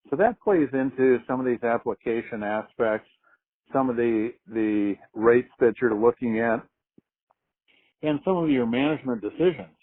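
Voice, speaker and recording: Phone-line man explaining in business style. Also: mid-range voice. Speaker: agricultural marketing manager presenting on a conference call. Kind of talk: explanatory business conference call talk. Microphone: telephone conference call. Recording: narrow, phone-like conference line.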